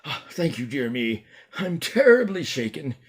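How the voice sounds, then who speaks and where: A man voicing a fussy old gentleman, faint and shaken. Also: mid-range voice, very animated, a little slow, husky. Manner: faint and quavering.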